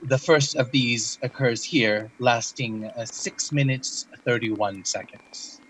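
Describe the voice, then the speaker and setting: Clinical researcher, faint background noise. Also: male voice, medium pitch, a bit bright, poor audio. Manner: clinical, precise, measured. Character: formal, methodical male researcher annotating an evidence tape. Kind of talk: audio drama, clinical narration.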